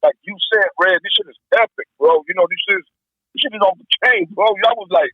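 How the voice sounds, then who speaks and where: A man chatting casually as a podcast guest, slangy and sweary, with a gravelly, husky voice on a dull phone-like line. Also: high voice, quick pace, dry recording. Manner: slangy, profanity-laced. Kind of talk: casual chat.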